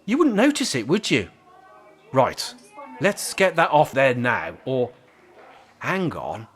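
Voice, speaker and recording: Radio play: cheeky, cocksure older male rascal male actor, mid-pitched, dry teasing delivery. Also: wildly swooping pitch, slow, a bit bright, full character voice. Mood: grumbling, scheming. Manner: wry, teasing, feigned innocence. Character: mischievous, cocky older male care-home resident in a wheelchair. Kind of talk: amateur radio play acting.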